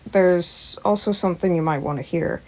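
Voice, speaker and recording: A weary, gruff guardian, a grown man as a woman reads him, speaking in a story. The delivery is terse and tired, pitched down a little. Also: normal speed, dark tone, natural voice. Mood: matter-of-fact. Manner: low-key, tired, terse. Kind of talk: podfic character reading.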